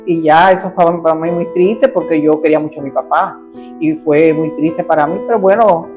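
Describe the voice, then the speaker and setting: A woman's voice: low voice, moderate pace. Cuban woman telling her story, faint guitar. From Cuba. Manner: animated storyteller who acts out voices. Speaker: guest.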